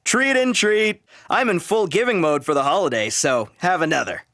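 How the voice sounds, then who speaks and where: A cheerful, generous hero of a fantasy game. The voice sits a little high for a man and is recorded dry and close. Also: moderate pace, a bit bright, no room sound. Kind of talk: scripted video game voice lines.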